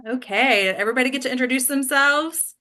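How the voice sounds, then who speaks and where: A woman's voice: high voice, brisk, pushed and bright. A woman hosting and directing an online group session, giving casual instructions over a video call. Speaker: host and director of a table read. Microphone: video call microphone.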